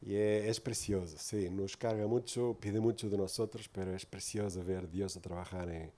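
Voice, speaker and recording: A man's voice: on the low side, steady tone, normal speed, dark tone. A man from Portugal preaching in Spanish with a Portuguese accent, giving an earnest, informal sermon full of tag questions.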